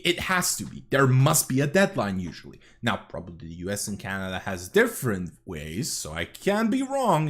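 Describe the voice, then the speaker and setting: A young man from Serbia, rambling through casual reaction commentary as a streamer, swearing often and correcting himself. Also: medium pitch.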